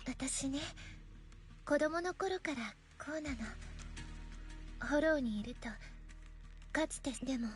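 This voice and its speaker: Woman playing a high-voiced earnest anime game girl. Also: normal speed, pauses often, natural voice. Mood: troubled, confiding. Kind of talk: Japanese game voice acting. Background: game music.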